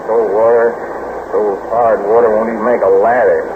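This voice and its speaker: An actor with a Texan accent playing a gruff, stubborn adventurer, with folksy, blunt grumbling in 1940s radio acting. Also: male voice, lowish voice, level tone, moderate pace, close to an everyday voice. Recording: tinny old radio recording with loud hiss.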